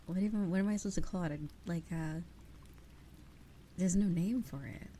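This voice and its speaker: A young adult woman whispering close to the mic as an ASMR streamer, rambling and giggling as she chats with her live viewers, her voice a little raspy. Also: fairly low pitch, moderate pace, mellow, a little hiss or hum. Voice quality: slightly raspy. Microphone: close sensitive ASMR microphone. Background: rain sounds.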